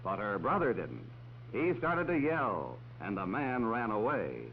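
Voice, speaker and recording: A man's voice: lowish voice, sing-song, measured pace. A man narrating a 1940s educational film, talking kindly to children as a policeman would. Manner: talks down to children. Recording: dull old film soundtrack with faint hiss.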